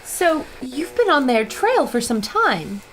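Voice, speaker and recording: A woman as a high-voiced intrepid reporter. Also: big pitch swings, slow, a bit bright, slight background noise, heavily acted. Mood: sincere. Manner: dramatic, crisp reportage. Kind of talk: 1930s adventure radio drama acting.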